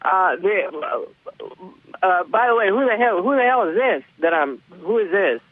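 A high-pitched man rambling into a dry, tinny phone line. He stumbles over his words and keeps restarting his sentences. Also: no echo. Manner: rambling, halting, excitable. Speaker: caller. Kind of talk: comedic call-in.

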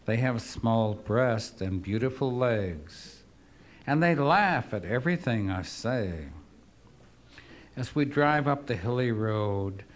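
A man reading his poems aloud to an audience, drunk, gruff and profane, rambling between pieces. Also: on the low side, slight background noise. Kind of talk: live poetry reading with rambling asides.

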